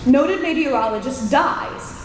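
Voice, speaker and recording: A woman playing an inquisitive, sharp-tongued newspaper reporter in an old-time radio drama, insistent and theatrical. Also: high-pitched, expressive, measured pace. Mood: intrigued. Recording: echoey hall, roomy, faint noise.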